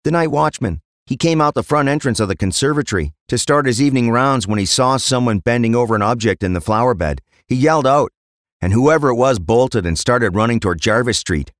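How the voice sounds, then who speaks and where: A man's voice: medium pitch, animated, a little fast, no echo, clean studio sound, voice pushed up, lightly acted. A man voicing an earnest, deferential police constable in an audiobook crime story. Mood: dutiful reporting. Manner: deferential, dutiful.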